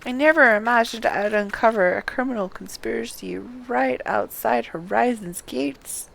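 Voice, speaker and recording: Woman reading as a stern, creaky fantasy soldier. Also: mid-range voice, a bit bright, low-quality recording. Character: righteous, formal fantasy soldier vowing justice.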